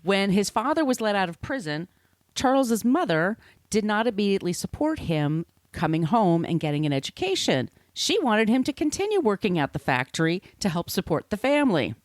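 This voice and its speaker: A woman's voice: medium pitch, big pitch swings, a little fast, dry recording. A woman hosting a podcast, reading scripted narration with casual, irreverent asides. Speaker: podcast host.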